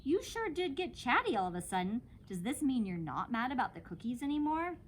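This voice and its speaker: A woman's voice: high-pitched, big pitch swings, fairly quick, slight background noise, pitched above the performer's own voice. A woman reads a fond, inquisitive older family woman from a children's storybook. The voice is raised and lilting, teasing as it questions. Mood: surprised. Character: inquisitive, fond grown-up women of family, grandmother and mother.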